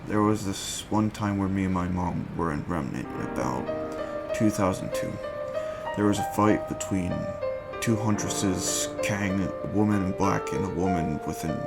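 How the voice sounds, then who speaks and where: A man acting an earnest, reserved, homesick young operative in a dramatic reading, with measured, formal phrasing. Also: low voice, gravelly. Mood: homesick, subdued. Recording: loud background music. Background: loud music.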